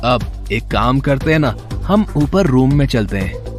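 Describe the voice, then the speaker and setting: A man playing a spoiled, sly rich college boy in a dramatised story, smooth-talking and cocky. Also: mid-range voice, animated, unhurried, soft background music, natural voice. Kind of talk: dramatised Hindi moral story.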